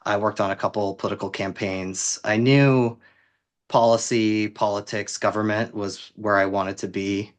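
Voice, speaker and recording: A man's voice: on the low side, fairly even intonation, moderate pace. A man in his thirties from the US Pacific Northwest, a guest on a podcast, talking with self-deprecating humor. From Vancouver, Washington, US. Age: 30s. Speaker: interview guest, city housing worker. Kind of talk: conversational interview.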